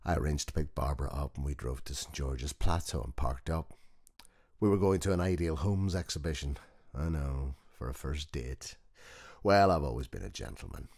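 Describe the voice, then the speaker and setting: Actor as very deep-voiced old widower mechanic, wistful. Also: male voice, moderate pace, darkish tone. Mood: tender, nostalgic. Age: older. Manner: conversational, wistful storytelling. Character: old working-class widower mechanic, gentle, proud, nostalgic about his wife. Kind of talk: dramatic first-person audiobook monologue.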